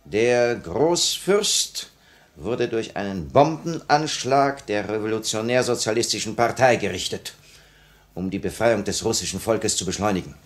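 An actor playing the composed leader of a revolutionary cell in a 1950 radio play, speaking in a measured, commanding way. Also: male voice, on the low side, expressive. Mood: proclaiming, resolute. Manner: measured, commanding, proclamatory at times.

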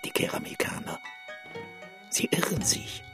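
A woman's voice: measured pace, over music, lightly acted. A woman playing a grave, cryptic creature in a radio drama.

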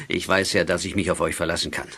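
A low male voice, a cautious, troubled grown-up in a youth audio drama. He speaks gravely and haltingly, as one confiding something. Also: steady tone, bright, forceful tone, full character voice. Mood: grave, hesitant. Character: Herr Glockner, cautious, troubled adult man confiding in teenagers.

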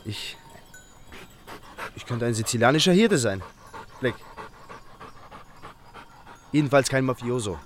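A male actor playing a naive, earnest fifteen-year-old Albanian shepherd boy with simple, halting speech in a German radio drama. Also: medium pitch, animated, a little hiss or hum. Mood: wistful, earnest.

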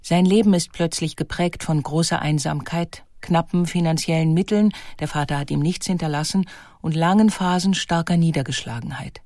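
Measured female narrator, dry recording. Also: low voice, darkish tone, no echo. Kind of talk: scripted documentary audiobook narration.

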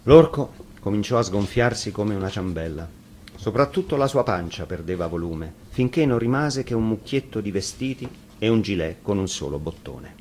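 A man's voice: lowish voice, lively intonation, moderate pace, a little mellow. A man narrating a scripted fairy tale dramatically, as a storyteller. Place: radio studio. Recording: faint background noise.

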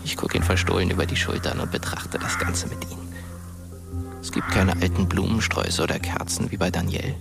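A gravelly, hushed dead teenage boy. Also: low voice, flat delivery, fairly quick. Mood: wistful, observant. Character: dead teenage boy narrating as a ghost, wry, wistful. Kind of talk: German radio drama narration. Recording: music under the voice.

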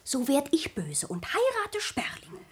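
An actress playing a lovesick, impatient young granddaughter in a theatrical radio comedy, with sighing, exclamatory delivery. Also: female voice, sits high, huge pitch swings, a little slow, full character voice. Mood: yearning, pouting. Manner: exclamatory, sighing, speaks old-fashioned stage German.

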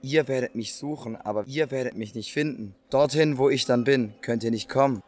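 A man's voice: mid-range voice, brisk, a little mellow, natural voice. A man playing a hostile, scornful doubter from a crowd in an audio drama, with an accusing manner. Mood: puzzled.

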